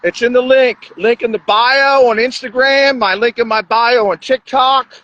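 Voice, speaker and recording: A man's voice: very high voice, steady tone, measured pace, sharp tone. A man talking in a blunt, crude, rambling monologue as a podcast host. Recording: dull lo-fi sound.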